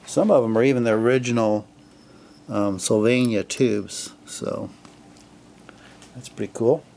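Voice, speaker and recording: A man casually narrating his radio restoration at a home workbench, relaxed and full of fillers as he thinks aloud. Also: medium pitch, unhurried. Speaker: hobbyist restoring a vintage radio.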